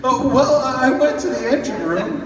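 A man's voice: very high voice, expressive, normal speed, a crowd in the background. A man playing an earnest, flustered superhero in his civilian guise, hammy and stammering, in a live comic radio play. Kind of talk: live old-time radio drama performance, played for laughs. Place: large convention hall stage with audience. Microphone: panel microphone through PA, filmed from audience. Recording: echoey convention hall, distant, noisy audience recording.

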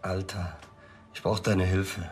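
An actor playing a slick, self-important manager and schemer in a TV crime comedy. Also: male voice, deep voice, level tone, slow, husky, faint music behind, exaggerated voice. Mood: desperate.

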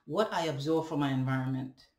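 A man's voice: medium pitch, darkish tone. Young Ghanaian man, echoey room. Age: young adult. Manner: halting, deliberate, rhetorical questions and tag "right?". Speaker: vlogger. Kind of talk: reflective monologue to camera. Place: indoors, room at home with bare walls and ceiling fan. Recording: echoey room, webcam at a distance.

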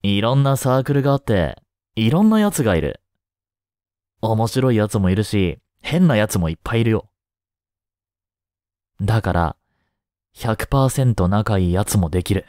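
A man playing a kind, easygoing schoolteacher in a voice drama, gently and casually encouraging a student. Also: on the low side, lively intonation, no echo, studio quality, lightly acted. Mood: reassuring, encouraging. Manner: gentle, unhurried, casual, reassuring with long pauses. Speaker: voice drama performer. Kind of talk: Japanese voice drama, one-sided intimate talk to the listener.

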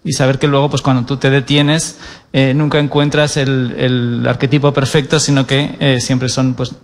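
A man's voice: mid-range voice, level tone, moderate pace. A man in his thirties, a writer speaking on a stage panel through a handheld mic, hesitant with many fillers and tag questions. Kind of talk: panel discussion. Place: stage of a cultural centre auditorium.

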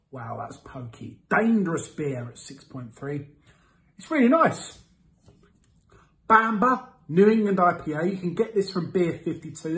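A man in his thirties giving a casual product review to camera, with a playful, theatrical delivery and drawn-out words. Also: fairly high pitch, a bit bright, rough recording. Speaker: host of a beer review series. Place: small indoor room with window blinds.

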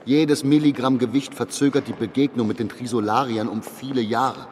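A man's voice: medium pitch, steady tone, normal speed, darkish tone, close to an everyday voice. An actor in a radio drama playing a cold, curt, calculating chief of an intelligence agency, a man who does not yield. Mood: curt, dismissive. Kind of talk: modern radio drama acting. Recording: long reverb, noisy ambience.

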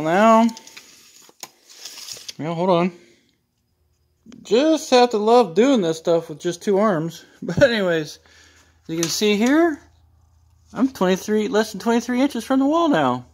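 A man's voice: high voice, big pitch swings, unhurried, long pauses, slight background noise. A man filming a casual walk-through of his garage on a handheld phone, talking in a folksy, rambling way with homespun phrases. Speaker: YouTube vlogger. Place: garage and home interior. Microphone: handheld phone camera, filming himself.

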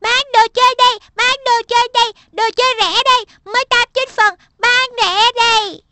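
A woman's voice: very high voice, fairly even intonation, moderate pace, over music. A woman voicing an eager, stubborn little boy in a cartoon dub, whiny and exclamatory. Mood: calling out.

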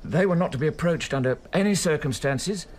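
A man's voice: a little high, expressive, fairly quick, a little hiss or hum, close to an everyday voice. An actor playing a conscientious, troubled prison keeper reporting respectfully to his superior in a radio drama. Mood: troubled, earnest.

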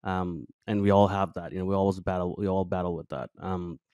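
A man's voice: sits low, steady tone, fairly quick, dark tone, clean tone, dry recording, dull audio. A man in his thirties from Bangladesh, a podcast guest talking reflectively, searching for words with many fillers and restarts. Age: 30s. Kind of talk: reflective interview talk. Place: small room with plant and guitar on wall. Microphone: headset with studio mic on arm.

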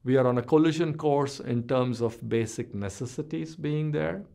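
A man in his sixties delivers a recorded business lecture, his voice gravelly and sitting at an ordinary middle pitch. He explains at a measured pace, letting the ends of his phrases drop away. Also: warm tone. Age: 60s. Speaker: business professor. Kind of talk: recorded lecture over slides.

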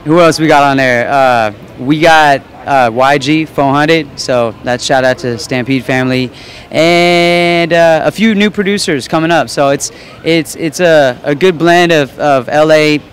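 A man's voice: fairly high pitch, fairly even intonation, a little slow. A young man from Los Angeles, a musician guest answering at an indoor event on a handheld mic, laid-back and rambling. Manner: laid-back, rambling, many fillers and restarts. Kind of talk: casual interview talk.

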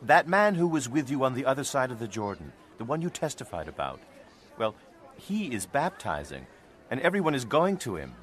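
A man's voice: mid-range voice, sing-song, fairly quick, lightly acted. An actor playing a concerned disciple reporting hesitantly to his rabbi in a dramatized scripture reading.

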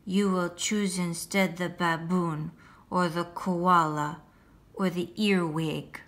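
Boastful but sweet little French snail for a storybook, voiced by a woman: bright, slow, dramatic delivery over faint music. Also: lowish voice, fairly even intonation, voice pushed down. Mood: sheepish. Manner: theatrical, deliberate, addressing the child listener. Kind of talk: picture book read-aloud.

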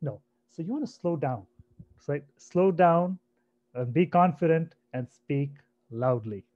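A man in his thirties from India with a naturally soft voice, presenting a career webinar in a conversational way and often checking in with "right?". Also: on the high side, lively intonation, measured pace. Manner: conversational, repeats himself, asks "right?" often. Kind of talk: online career webinar talk. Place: home room on a video call. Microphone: computer mic on a video call. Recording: dull lo-fi webinar audio.

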